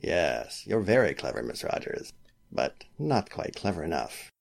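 Man acting deep, gravelly scheming lawyer. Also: very animated, unhurried, heavily acted. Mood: cold menace. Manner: formal, intense. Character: scheming, smooth-talking male lawyer turned desperate killer. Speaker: voice actor. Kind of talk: 1940s-style radio drama acting.

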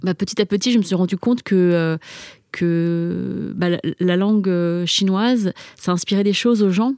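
A woman's voice: lowish voice, normal speed, darkish tone, no echo. Young woman, first language Chinese, raised in France, hesitant casual talk, lots of fillers, dry sound. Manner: hesitant, many fillers and repeated starts. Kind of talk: casual conversational chat.

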